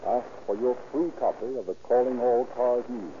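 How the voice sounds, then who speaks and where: A man's voice: medium pitch, moderate pace, natural voice. A man with an American accent narrating and announcing for a 1930s radio program in a formal, declamatory manner. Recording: muffled, noisy old radio recording.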